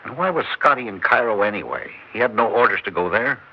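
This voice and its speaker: An actor playing a stern chief who gives clipped orders, in a 1960s radio drama. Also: male voice, fairly low pitch, expressive, fast, gravelly, husky, full character voice. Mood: brisk. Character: stern intelligence chief, brisk and commanding. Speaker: chief of an agency. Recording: muffled old radio broadcast.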